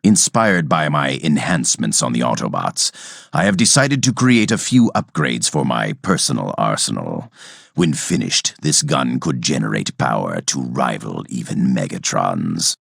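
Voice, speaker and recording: An actor playing a cold, calculating robot villain scientist in a video game, speaking in a measured, clinical and menacing way with formal diction. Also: male voice, a bass voice, very animated, gravelly, fairly bright tone, no echo, studio quality, full character voice. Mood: clinical fascination. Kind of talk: scripted video game character monologue.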